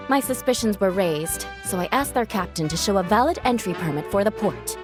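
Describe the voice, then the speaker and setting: Actress as a dutiful young woman knight, mid-pitched, polite, formal, measured, video game cutscene, music behind. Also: close to an everyday voice. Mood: earnest, formal. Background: background music.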